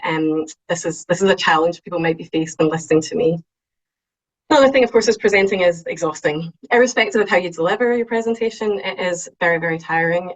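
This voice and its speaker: A woman with a strong Scottish accent giving an online talk, lively and excitable, with frequent ums. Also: fairly low pitch, rough recording. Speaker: invited speaker. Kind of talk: webinar talk on presenting.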